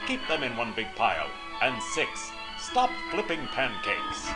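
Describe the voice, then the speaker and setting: A deadpan announcer delivers a mock public service message over a loud music bed. The voice is lively in pitch while staying earnest and instructive. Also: unhurried, poor audio.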